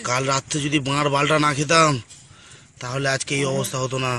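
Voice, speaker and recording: A man's voice: mid-range voice, flat delivery, a little fast, crowd noise, natural voice. A man dubbing a suffering, hungover character who groans, whines and curses in a comic cartoon parody. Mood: groaning. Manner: groaning, whining, cursing.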